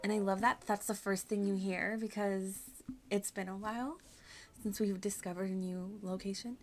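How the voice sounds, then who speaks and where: A woman's voice: mid-range voice, fairly even intonation, moderate pace, darkish tone, a little hiss or hum. A woman streaming a video game with casual, rambling, self-deprecating and giggly chat. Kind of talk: casual livestream chat over gameplay.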